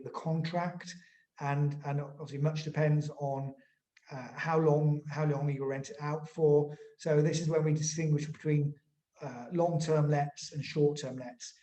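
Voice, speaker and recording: A man, a lawyer explaining things informally in an interview, haltingly and often repeating words. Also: fairly high pitch, fairly even intonation, dark tone. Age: 40s. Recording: roomy, echoing sound.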